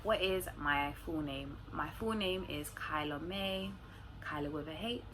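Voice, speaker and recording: A woman's voice: low-pitched, measured pace. A woman with a London accent chatting casually and informally to camera as a vlogger, using plenty of slang. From London, England. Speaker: vlogger answering viewer questions. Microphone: phone recording. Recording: clearly noisy room recording.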